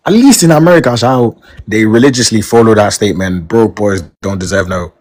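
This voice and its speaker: A man's voice: on the low side, fairly quick, a little mellow. A man in a casual chat, slangy and hedging. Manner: slangy, hedging with "you get me". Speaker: guest.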